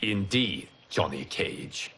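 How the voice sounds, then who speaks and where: A man's voice: low voice, lively intonation, slow, fairly bright tone, slight background noise, heavily acted. A man voicing a solemn, commanding fire god in a cinematic video game, formal and grave in manner. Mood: serene authority. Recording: cinematic music under the voice. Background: music.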